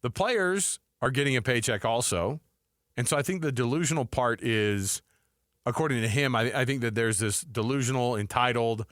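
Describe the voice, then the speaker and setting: A man's voice: medium pitch, normal speed, fairly bright tone, no room sound, clean studio sound. A man co-hosting a sports talk show, debating informally, thinking aloud and putting questions to his partner.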